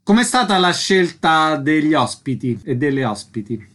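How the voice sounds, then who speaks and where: A man's voice: a little high, big pitch swings, moderate pace, fairly bright tone. A man presenting a radio show in a scripted, announcer-like way with concise questions. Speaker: radio host, interviewer.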